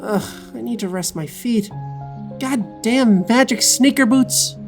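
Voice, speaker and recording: A woman's voice: on the high side, slow, over music. A woman playing a wary young-sounding male adventurer. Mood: weary, distracted. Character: Uri, wary caring male adventurer, exasperated companion. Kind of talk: audio drama acting.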